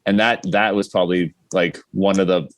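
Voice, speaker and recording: A man's voice: low voice, fairly even intonation, moderate pace, no room sound. A man chatting casually as a podcast guest, talking in a rambling, self-deprecating way.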